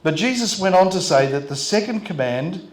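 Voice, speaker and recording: An older Australian pastor preaching in short, gentle phrases, pausing so an interpreter can translate his sermon. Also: sits high, lively intonation. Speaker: visiting pastor. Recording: roomy hall echo.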